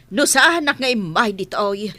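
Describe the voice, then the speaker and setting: A woman's voice: medium pitch, expressive, unhurried, pushed and bright, a little hiss or hum. A woman playing an exasperated, reproachful family matriarch in a radio drama, with an Ilocano accent. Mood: exasperated, scolding. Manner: reproachful, emphatic.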